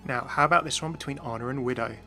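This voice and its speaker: A man presents gaming commentary with keen interest. His voice is bright and forward and he talks very quickly, over faint background music. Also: mid-range voice, creaky. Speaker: video host. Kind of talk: enthusiastic scripted game commentary.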